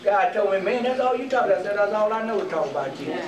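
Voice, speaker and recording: Older man, very high voice, swooping animated pitch, impassioned sermon, repetitive emphatic phrasing, echoey distant recording. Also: racing, over a noisy crowd. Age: older, 60s or 70s. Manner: emphatic, repetitive, stammering in bursts, colloquial. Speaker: pastor preaching. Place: indoor church sanctuary, pacing before congregation. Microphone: distant camera in the room. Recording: echoey church hall from far off, loud congregation.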